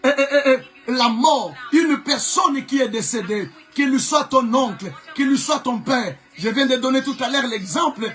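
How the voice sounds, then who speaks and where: A man preaching a sermon with a Congolese French accent, whose first language is Chokwe. He preaches fervently, often shouting and repeating phrases for emphasis. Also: very high-pitched, unhurried, hardly any pauses, soft background music. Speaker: pastor. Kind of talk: live-streamed preaching sermon.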